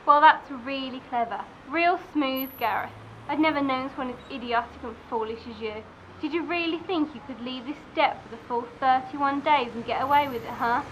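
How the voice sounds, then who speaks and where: Exasperated, suspicious wife, played by a young woman, high voice, slightly noisy radio-play recording. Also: moderate pace, fairly bright tone. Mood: scathing, angry. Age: young adult. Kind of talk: amateur radio play acting.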